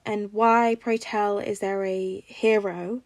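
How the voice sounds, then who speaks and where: A woman's voice: medium pitch, level tone, takes it slowly, darkish tone, dry recording. A woman voicing an exasperated retired male villain and father in a storybook reading, with a dry, sardonic manner.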